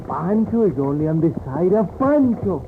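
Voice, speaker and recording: High-voiced, cheerful Mexican rogue. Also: male voice, very animated, normal speed. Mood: breezy, sly. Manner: talks of himself in third person, flowery. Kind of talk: old-time radio western acting. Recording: narrow-band old radio recording, noisy.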